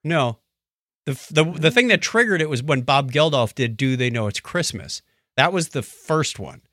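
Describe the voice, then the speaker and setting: A man hosting a podcast in casual chat, telling stories in an animated way and acting out what others said. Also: on the high side, normal speed, dry recording, clean studio sound. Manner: animated storytelling, acts out quotes. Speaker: podcast host.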